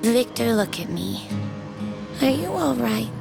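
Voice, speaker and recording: Casting: folksy diner waitress, coaxing and flirty, unhurried with a creaky edge, audio drama. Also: female voice, a little high, very animated, over music, exaggerated voice. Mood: concerned. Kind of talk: naturalistic audio drama dialogue.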